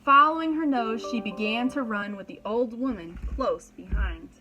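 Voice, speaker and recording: A woman's voice: on the high side, moderate pace, pushed and bright, muffled recording, soft background music. A woman reading a children's story aloud as a preschool teacher, dramatically and expressively, with simple explanations for young listeners.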